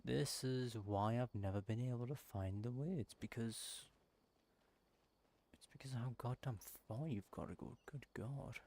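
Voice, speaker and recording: A man's voice: medium pitch, moderate pace, dark tone. A man giving casual gameplay commentary, muttering at himself in exasperation. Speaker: gameplay streamer.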